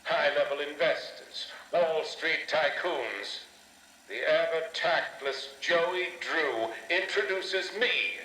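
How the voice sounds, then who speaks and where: A grandiose, bitter showman in a game audio log. He speaks slowly and deliberately with dramatic swoops, on an echoey old tape with music behind. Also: a little high, pushed and bright, slight background noise, full character voice. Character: pompous, proud, bitter male attraction builder.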